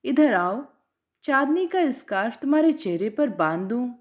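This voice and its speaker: A woman's voice: high-pitched, sing-song, normal speed. A woman voicing a poetic, smitten young male officer who speaks in flowery lover's lines, in her own reading voice. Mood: tender.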